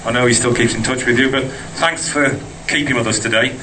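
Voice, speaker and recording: Quick, bright-toned middle-aged man. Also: mid-range voice, steady tone, recorded from a distance, slight background noise, low-quality recording. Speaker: project organiser. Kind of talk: thank-you speech.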